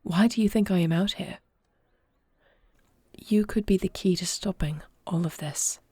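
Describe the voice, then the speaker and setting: A woman playing an earnest young princess and war leader in an audio roleplay, speaking formally in solemn, measured phrases. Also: fairly low pitch, dark tone, breathy edge, no echo. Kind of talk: scripted audio roleplay acting.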